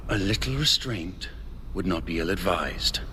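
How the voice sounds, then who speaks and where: An actor playing a measured, authoritative Victorian knight commander in a video game, formal and guarded. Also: male voice, low voice, lively intonation, pushed and bright, full character voice. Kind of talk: dramatic video game acting. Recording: long hall reverb, faint background noise.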